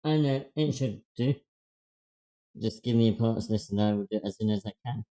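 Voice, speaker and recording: A man's voice: on the low side, moderate pace, dark tone. A man taking part in an online table read, chatting informally and a little apologetically. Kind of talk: casual chat. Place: online voice call.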